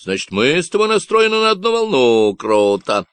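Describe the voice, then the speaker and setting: Male narrator playing unhinged Texan on a walkie-talkie: nasal drawl, slangy, taunting, animated pitch. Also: clean tone, no echo. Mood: mocking. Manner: slow, mocking slang talk.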